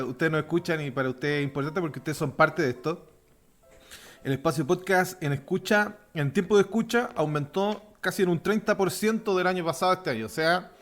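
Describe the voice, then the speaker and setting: A man with a softened Chilean accent hosting a religious podcast, chatting informally and offering blessings to listeners. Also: a little high. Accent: softened Chilean Spanish. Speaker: podcast host. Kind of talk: casual religious podcast chat.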